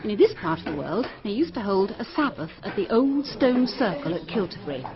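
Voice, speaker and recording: A woman's voice: medium pitch, sing-song, a little fast, over music. A woman playing a knowledgeable schoolteacher with an English accent in a radio drama. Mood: instructive. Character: knowledgeable schoolteacher explaining eerie folklore. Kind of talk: British radio drama acting. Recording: dull radio recording with busy chatter.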